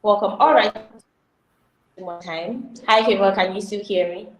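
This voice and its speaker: A woman's voice: mid-range voice, moderate pace. A Nigerian woman hosting a live online info session, welcoming viewers and reading out their comments. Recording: roomy echo.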